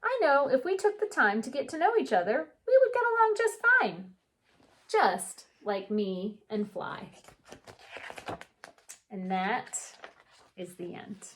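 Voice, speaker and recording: Woman, higher-pitched, unhurried, expressive storybook read-aloud, chatty asides, teacherly. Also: slight background noise. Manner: animated, drawn-out storybook delivery. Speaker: teacher reading a picture book aloud for pupils.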